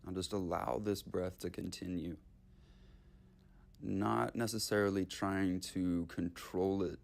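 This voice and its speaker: A man leading a guided meditation in short, unhurried, soothing phrases with long gaps between them. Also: deep voice, fairly even intonation, creaky.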